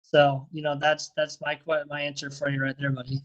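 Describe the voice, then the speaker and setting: Man, rambling and friendly, slightly high voice, repeats himself, heard through a video call. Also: monotone, a little fast, muffled recording. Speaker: interviewee, first responder and dog handler. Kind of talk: casual interview answers.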